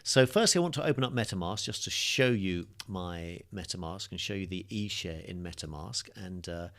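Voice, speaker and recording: A man's voice: low-pitched, lively intonation, moderate pace, vocal fry, fairly bright tone. A man teaching a crypto course, walking through a screen-share tutorial in a chatty, upbeat way with fillers, his voice a little worn. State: slightly losing his voice, coughing.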